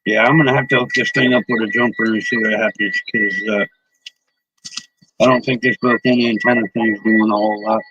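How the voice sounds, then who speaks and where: A man's voice: on the low side, steady tone, a little fast, vocal fry, pushed and bright, husky, highs cut off. A man casually chatting on his live stream as a CB radio hobbyist, laid-back and cussing freely while he putters around. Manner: laid-back, swears freely, mumbles to himself.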